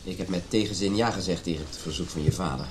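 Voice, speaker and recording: An actor playing a polite, modest husband in a radio play, courteous and measured. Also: male voice, low voice, very noisy, close to an everyday voice. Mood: tactful. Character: polite, tactful, modest husband named Hans.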